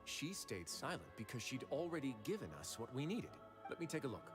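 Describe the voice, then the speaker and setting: An actor playing a composed, calculating nobleman investigator in a video game, measured and authoritative. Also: mid-range voice, very animated, lightly acted. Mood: thoughtful. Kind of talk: dramatic video game voice acting. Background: game music.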